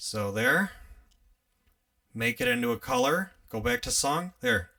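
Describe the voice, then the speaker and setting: A young man with an upstate New York accent, hosting a casual live tutorial on music gear, chatty and relaxed, laughing often as he works. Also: medium pitch, unhurried, creaky, far from the mic. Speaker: livestream host teaching music gear.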